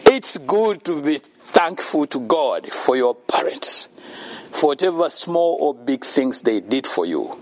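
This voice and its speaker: A man speaks slowly and deliberately. His voice sits a little high and moves lively up and down as he makes earnest points about faith, with frequent laughter between his remarks. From Uganda. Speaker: speaker at a children's home. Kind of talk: faith-based motivational talk.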